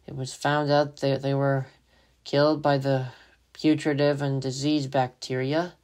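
A man's voice: mid-range voice, little pitch movement, a little slow, a little mellow. A man giving solemn, dramatic scripted narration as the storyteller of a radio play.

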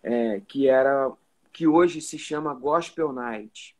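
A man's voice: mid-range voice, slow pace. A man in his forties with a Carioca accent from Rio de Janeiro, a musician guest chatting casually about his life, often tagging his sentences with "né?". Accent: Carioca Portuguese. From Rio de Janeiro, Brazil. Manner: relaxed storytelling with many "né?" tags. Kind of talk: casual live video chat. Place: indoor room at home. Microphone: phone or webcam on a video call.

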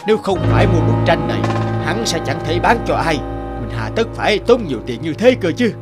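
A man's voice: on the high side, big pitch swings, brisk, over music. A man voicing a stingy, haughty rich businessman in a cartoon, muttering and blustering. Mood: scheming. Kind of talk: animated moral tale dubbing.

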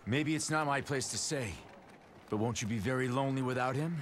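An actor playing a proud, bold young warlord in a video game cutscene. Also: male voice, mid-range voice, a little fast, noisy background, close to an everyday voice. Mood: brotherly, confident. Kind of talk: English-dubbed video game cutscene acting.

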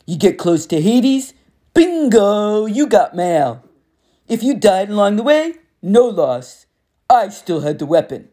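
A boastful male god of war with a taunting, cocky way of talking, as a woman reader puts him on. He sounds loud and pleased with himself, his pitch leaping around a lot. It is an audiobook character voice. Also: very animated, slow. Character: smug, menacing adult male god of war.

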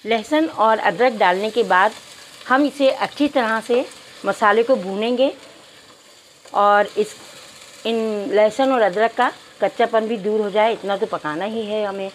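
A woman's voice: mid-range voice, measured pace, slight background noise, poor audio. A woman narrating a cooking tutorial step by step, addressing viewers politely. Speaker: cooking channel host.

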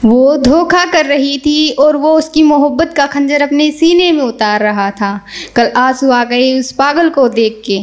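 A woman's voice: high-pitched, a little slow. A young woman reciting her poems at an open mic, in a rhythmic way and repeating each couplet, into a stand mic in a small indoor room. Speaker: poet.

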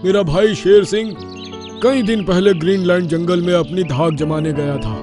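Bullying cartoon lion king, voiced by a man, high-pitched, domineering swagger. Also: moderate pace, a little mellow, soft background music, close to an everyday voice. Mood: arrogant. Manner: boastful, domineering. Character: arrogant male lion invader, bullying. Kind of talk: cartoon dubbing.

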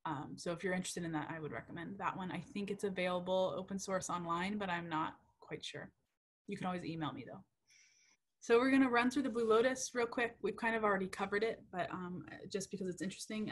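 A woman's voice: fairly low pitch, fast talker. A young woman researcher giving a casual, chatty lecture over slides, with many ums, self-corrections and "right?" checks. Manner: uptalk and frequent "right?" checks. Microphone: computer mic on a video call.

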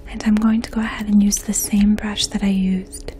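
A woman in her twenties speaking in a soft, hushed, breathy voice, gently explaining things as a makeup artist in an ASMR role play. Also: mid-range voice, normal speed. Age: 20s. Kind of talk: ASMR role play, addressing the listener as a client. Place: small indoor room. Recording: faint steady outdoor hum. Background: distant lawn mower.

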